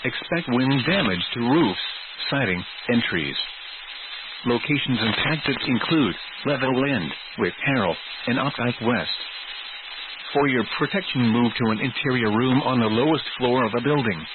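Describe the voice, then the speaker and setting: Tinny noisy radio, guy reading scripted severe weather alerts, formal measured kinda robotic announcer. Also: mid-range voice, lively intonation. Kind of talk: scripted emergency weather bulletin.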